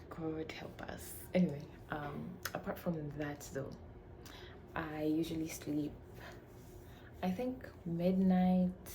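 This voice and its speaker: A woman's voice: low-pitched, flat delivery, slow pace, pauses often, warm tone. A young woman from Tanzania chatting casually to camera about herself, playful and chatty, dipping into whispery murmurs. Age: young adult. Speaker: vlogger. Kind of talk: casual get-to-know-me chat. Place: shared home room. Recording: noisy home recording with roommates behind. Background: household noise.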